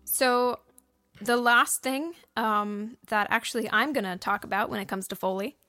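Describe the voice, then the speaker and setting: A woman's voice: a little high, moderate pace, bright, forceful tone, faint music behind. A woman co-hosting a podcast, explaining things casually and chattily with plenty of examples. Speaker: podcast host and audio drama writer. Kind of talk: casual explanatory talk with co-host.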